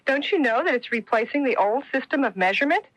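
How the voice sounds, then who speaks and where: A woman's voice: high-pitched, lively intonation, fast, a bit bright, no echo. An actress playing a perky, know-it-all schoolgirl daughter in a 1970s radio spot. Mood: perky lecturing. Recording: noisy 1970s radio broadcast.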